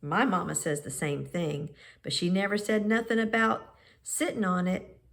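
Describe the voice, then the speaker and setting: A woman's voice: on the low side, fairly even intonation, normal speed, gravelly. Woman reading as a friendly, bold storybook girl. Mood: matter-of-fact. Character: Annie, friendly, bold young neighbor girl. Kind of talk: storybook character dialogue in read-aloud.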